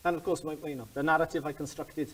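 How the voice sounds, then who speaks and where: A man's voice: on the high side, steady tone, fast, a bit bright, slightly rough, poor audio. A man interviewing on stage, thoughtful and hesitant, with many fillers.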